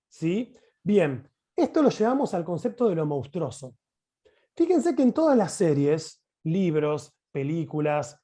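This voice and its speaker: High-voiced, animated Argentine man presenting. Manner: expressive, emphatic, uses rhetorical questions. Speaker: teacher presenting at a conference. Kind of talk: explanatory conference talk. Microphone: video call.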